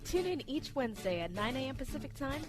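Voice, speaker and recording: A woman's voice: high voice, very animated, fast. A woman announcer reading a scripted outro for a radio show. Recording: voice-over under loud music. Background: loud music.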